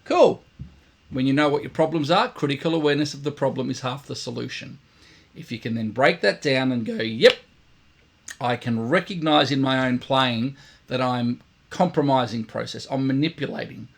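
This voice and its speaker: Man, brass teacher, gravelly fry, croaky and tired, emphatic, blunt. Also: medium pitch, moderate pace. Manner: emphatic, blunt, repeats points for stress. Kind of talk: direct instructional talk to camera.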